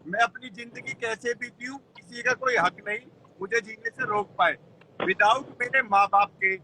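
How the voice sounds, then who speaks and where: Young male caller, piercingly bright high voice, blunt and defiant, informal, faint noise on the call. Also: rough recording. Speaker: guest caller on a live stream. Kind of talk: casual argumentative chat.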